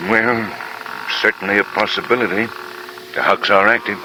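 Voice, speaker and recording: An actor playing a mild, measured businessman in an old-time radio drama. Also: on the low side, flat delivery, natural voice. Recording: very noisy old radio recording.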